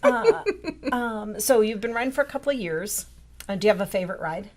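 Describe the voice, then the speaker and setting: A woman's voice: medium pitch, expressive, a little fast, a little mellow. A woman in her fifties co-hosting a casual chat, laughing often and steering the talk with questions. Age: 50s. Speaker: co-host.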